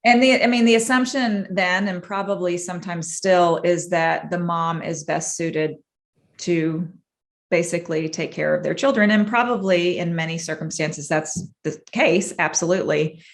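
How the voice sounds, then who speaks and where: A woman with a lowish voice, a clinician telling her own history in an interview. She talks in an earnest, measured way and pauses to reflect as she goes. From Massachusetts, USA. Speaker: guest, clinician. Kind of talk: personal storytelling in an interview.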